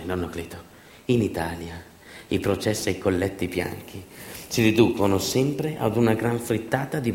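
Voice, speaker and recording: A man performing a theatrical narrative monologue, emphatic and dramatic, with deliberate repetitions and pauses. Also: mid-range voice. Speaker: stage actor and storyteller. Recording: echoey room.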